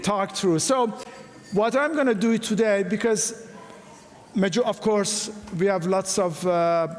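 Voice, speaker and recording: A middle-aged man from Iran with an Iranian accent, giving a personal talk to a live audience in an earnest, halting way with frequent restarts. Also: fairly high pitch. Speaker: guest speaker. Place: large hall. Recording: echoey hall, faint room noise.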